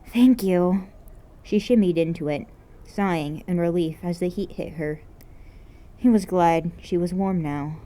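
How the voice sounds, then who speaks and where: A woman's voice: fairly low pitch, measured pace, mellow. A woman reading scripted fiction aloud as an amateur narrator. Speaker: amateur podfic reader.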